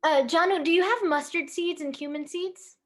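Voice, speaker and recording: A woman playing a stern, traditional middle-aged Indian mother in a staged reading, speaking firmly and instructively. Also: very high-pitched. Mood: brisk, instructive. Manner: firm, halting, instructive. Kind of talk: staged reading over video call.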